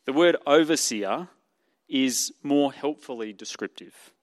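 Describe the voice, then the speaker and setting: A man's voice: medium pitch, measured pace, no echo, in a large hall. A man with an Australian accent preaching a sermon as pastor, explaining earnestly with many fillers and restarts.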